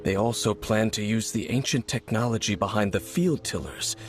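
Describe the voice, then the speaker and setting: Man playing a stoic male game warrior, low voice, brooding, aloof, measured formal delivery, music behind. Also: natural voice. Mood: solemn. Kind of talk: video game voice acting.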